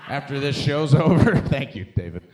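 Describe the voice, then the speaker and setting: A man's voice: mid-range voice, sing-song, moderate pace, darkish tone. A middle-aged American man doing conversational, profane stand-up comedy, talking emphatically and directly to a live audience. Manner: conversational, profane, emphatic, addressing the crowd as dude and guys. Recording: echoey live comedy room.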